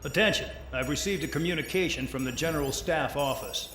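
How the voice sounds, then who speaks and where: A man acts as a scornful air force commander from a video game, barking clipped orders at a penal unit. His voice sits slightly high and rings in a roomy, echoing space over faint noise. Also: brisk, fairly bright tone, lightly acted. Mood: formal, commanding. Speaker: military base commander. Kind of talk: video game cutscene acting, military briefing. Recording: roomy echo, background noise.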